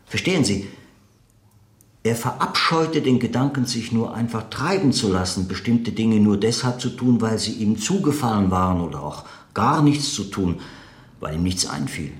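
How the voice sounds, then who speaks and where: A thoughtful man in a radio drama, recollecting with hesitant, earnest pauses. His slightly low voice moves through very lively inflections. The room adds noticeable echo around it. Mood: earnest, searching. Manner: searching, hesitant, earnest, pausing to reformulate. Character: reflective man recalling an enigmatic late writer friend. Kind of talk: naturalistic German radio play acting, interview-like monologue.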